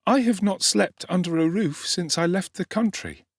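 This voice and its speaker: A weary little orphan boy , performed by a man, speaks in a high, childlike voice. He is timid, earnest and very polite, in a closely recorded, dry audiobook reading. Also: normal speed, no room sound. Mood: earnest, hopeful. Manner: earnest, well-spoken. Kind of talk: dramatic audiobook character acting.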